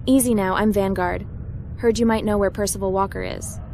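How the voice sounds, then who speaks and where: A woman's voice: mid-range voice, fairly even intonation, fairly quick, a little mellow, slight background noise, close to an everyday voice. A woman voicing a determined, matter-of-fact heroine in scripted roleplay game dialogue. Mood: businesslike. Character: determined, businesslike young female hacker posing as officer. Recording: music behind the voice.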